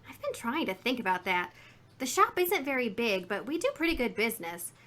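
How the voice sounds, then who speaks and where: A woman's voice: on the high side, very animated, fairly quick, a bit bright. An actress playing a chatty, indignant, folksy woman shopkeeper in a 1950s radio drama. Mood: reminiscing. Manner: folksy, garrulous, emphatic.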